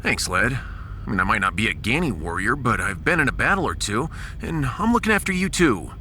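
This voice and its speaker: An actor playing an affable, battle-tested starship captain with a wry, informal, expressive manner in a science fiction audio drama. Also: male voice, medium pitch, fairly quick, a little hiss or hum.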